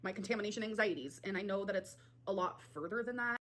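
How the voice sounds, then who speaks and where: Rapid, bubbly woman chatting to viewers in a rambling way. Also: mid-range voice, a bit bright. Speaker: livestream host. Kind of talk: casual talk to viewers.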